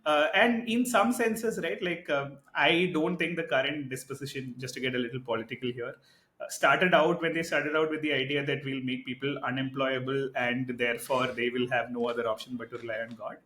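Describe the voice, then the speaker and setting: A man co-hosting a podcast, talking in a casual, animated, argumentative way and often tagging his points with "right?". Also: medium pitch, fairly quick. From India. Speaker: podcast co-host. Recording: echoey room.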